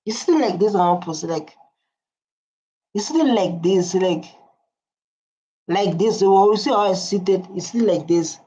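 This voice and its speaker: A man's voice: sits high, expressive, normal speed, long pauses, dark tone, slightly rough, dull audio. A man hosting a reaction video, talking casually with many fillers and reading out viewer comments. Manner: rambling, filler-heavy, reads viewer comments aloud. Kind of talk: casual commentary over a clip.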